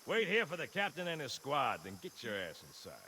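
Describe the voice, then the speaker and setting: Gruff game marine sergeant, barking, blunt, bright high voice, faint hiss. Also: male voice, very animated, a little fast. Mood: commanding. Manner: barking orders, profane, blunt. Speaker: squad sergeant. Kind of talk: video game cutscene acting.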